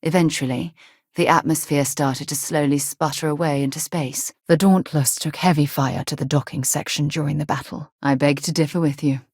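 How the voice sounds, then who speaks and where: An actress playing an earnest, principled space explorer in a video game, composed and articulate, with a dry wit. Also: female voice, low voice, steady tone, moderate pace, a little mellow, husky, no room sound. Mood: thoughtful, serious. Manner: earnest, thoughtful, dryly wry.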